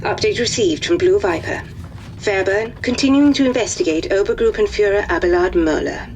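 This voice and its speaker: A woman's voice: medium pitch, lively intonation, moderate pace, darkish tone, close to an everyday voice. A woman playing a formal, detached wartime intelligence officer giving a clipped military briefing in a video game. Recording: noisy briefing audio.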